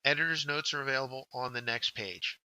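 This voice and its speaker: A man narrates a scripted workshop lesson in a very bright, forward voice at a middle pitch. He speaks directly to learners and takes them through instructions one step at a time. The sound is dry and close, with very little room around it. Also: fairly even intonation, fairly quick, clear, resonant tone, no room sound, rough recording.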